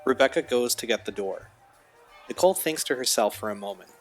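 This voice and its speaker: A man's voice: mid-range voice, animated, brisk, over music. A man narrating scripted stage directions for an audio drama.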